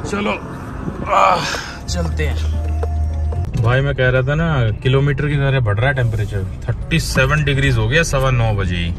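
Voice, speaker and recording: Male travel vlogger, medium pitch, informal and chatty, loud music and vehicle sound underneath. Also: a little slow. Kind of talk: casual road-trip vlog chat. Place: in a car and at roadside stops. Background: loud music, car sounds.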